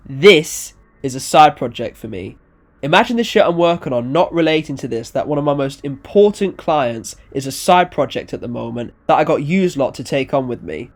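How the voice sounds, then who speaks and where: A storyteller voicing a cocky, evasive, fast-talking man who manages clients, slangy, brazen and repeating himself. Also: mid-range voice, lightly acted.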